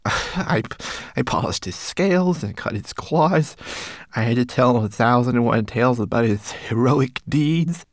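A tiny, tearful homunculus servant, his voice pitched high and trembling as he stammers out his remorse, put on by a man reading aloud. The lines swoop widely and are set close and dry. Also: expressive, measured pace, dry recording. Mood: sorrowful.

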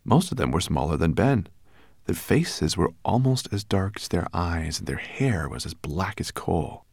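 Deep, gravelly male audiobook narrator. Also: unhurried, no echo, clean studio sound. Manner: expressive, animated storytelling.

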